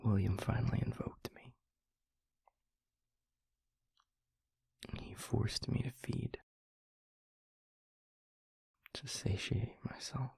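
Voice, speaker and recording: A man playing a tender, haunted male vampire boyfriend in an intimate audio roleplay, speaking haltingly and earnestly in a breathy, husky hush. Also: mid-range voice, steady tone, dry recording, exaggerated voice, rough recording. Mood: bitter, anguished. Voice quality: breathy, husky near-whisper. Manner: halting, hushed, earnest delivery with heavy pauses.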